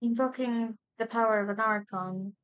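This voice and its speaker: A woman's voice: a little high, steady tone, moderate pace, clear, resonant tone, lo-fi sound. A woman gaming creator casually reacting to a playthrough and reading bits of dialogue aloud.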